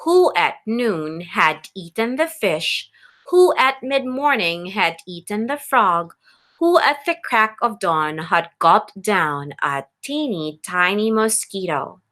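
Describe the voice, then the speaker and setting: Woman, teacher, children's read-aloud, very lively intonation, bright clear-cut tone, unhurried, instructive. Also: medium pitch. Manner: animated, instructive, addressing children directly. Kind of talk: expressive picture-book read-aloud with questions to children.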